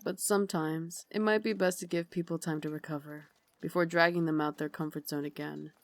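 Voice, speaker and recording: Woman playing a soft-spoken, low Victorian vampire lady. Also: steady tone, brisk, dry recording. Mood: gentle, reflective. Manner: refined, formal, soft-spoken, doting. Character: elegant Victorian vampire lady, caring and gently commanding. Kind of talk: ASMR roleplay, slow intimate scripted acting.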